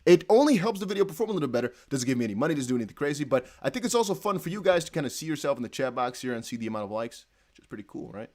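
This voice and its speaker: A young man with a Dutch accent hosting a casual livestream, reading chat aloud and breaking into excited whoops. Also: mid-range voice, very fast. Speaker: crypto livestream host.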